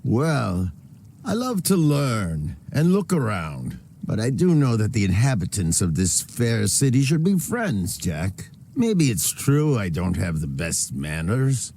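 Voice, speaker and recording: An actor playing an arrogant, flamboyant upstart gangster boss in a video game, sly and theatrically polite. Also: male voice, fairly low pitch, big pitch swings, takes it slowly, mellow, full character voice. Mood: sly, mock-friendly. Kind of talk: video game villain dialogue.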